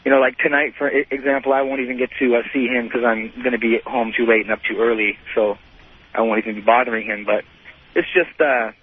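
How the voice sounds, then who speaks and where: A man in his thirties calling a radio show, telling a personal story in a halting, repetitive way full of "you know". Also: medium pitch, fairly even intonation, breathy edge. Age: 30s. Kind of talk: unscripted personal account on a call-in show. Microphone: telephone. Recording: muffled lo-fi phone call to radio.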